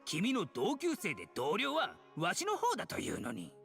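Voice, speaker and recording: Pompous old scholar, anime game, sharp creaky voice, blustering archaic speech, music underneath. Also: mid-range voice, wildly swooping pitch, quick pace, full character voice. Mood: exasperated. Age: older. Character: pompous, indignant old scholar who calls himself an aged hero. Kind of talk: Japanese anime game voice acting.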